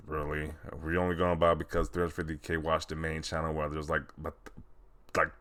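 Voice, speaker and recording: A man's voice: a bass voice, a bit bright. A man doing casual reaction commentary in a mocking, incredulous way. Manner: mocking, incredulous, often chuckling. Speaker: video host commentator. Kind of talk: casual commentary, reacting to social media posts.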